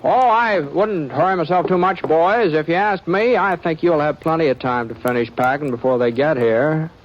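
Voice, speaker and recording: An actor playing an easygoing, sly drifting cowboy sheriff in a 1950s radio western, with a halting, folksy drawl. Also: male voice, a little high, close to an everyday voice. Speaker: lead actor and narrator. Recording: dull old radio broadcast with music.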